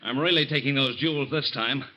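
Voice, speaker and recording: An actor playing a tough, cunning young American convict in a radio crime drama, talking in hard-boiled slang. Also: male voice, mid-range voice, brisk, pushed and bright, low-quality recording. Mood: menacing. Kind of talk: old-time radio crime drama acting.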